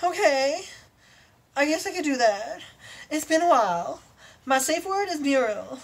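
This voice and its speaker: A woman's voice: sits high, lively intonation, measured pace, creaky, pitched above the performer's own voice, full character voice. A woman voicing a giddy, over-the-top bad unicorn roleplayer who squeals and gushes dramatically.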